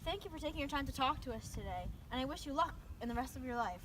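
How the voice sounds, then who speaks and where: Teen girl as a high-voiced, fast street reporter. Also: expressive. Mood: earnest, impressed. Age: teenager. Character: eager young female street reporter in a newscast skit. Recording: noisy amateur outdoor recording.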